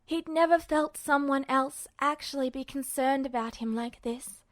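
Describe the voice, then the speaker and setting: A woman with a high voice narrates fiction from a script. The recording is very dry, with the sound of a story read aloud for listeners. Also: normal speed, dry recording. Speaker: podfic narrator.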